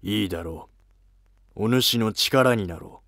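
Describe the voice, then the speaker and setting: A man voicing a weary, resentful senior court minister in an animated historical drama, with lofty, curt, archaic speech. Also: fairly low pitch, unhurried, no echo. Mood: wary, grave.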